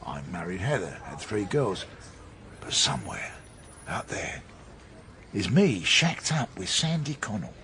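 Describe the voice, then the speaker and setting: Pedantic, animated police detective. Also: male voice, deep voice, unhurried, long pauses, slight background noise, full character voice. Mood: pedantic, insistent. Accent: British. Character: opinionated middle-aged male police detective, wry and pedantic. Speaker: actor in a radio drama. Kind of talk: naturalistic radio drama dialogue.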